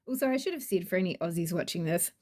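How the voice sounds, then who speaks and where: A woman's voice: on the low side, sing-song, a little fast, darkish tone. A woman with a New Zealand accent reviewing an oracle deck in a chatty, gushing way, rambling with fillers. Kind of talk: casual product review.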